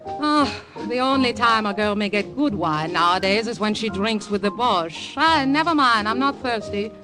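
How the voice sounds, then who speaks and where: An actress playing a jaded, wary young café singer in a 1950s radio drama. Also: female voice, on the high side, animated, moderate pace, lightly acted, poor audio. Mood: world-weary. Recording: old radio broadcast with music behind.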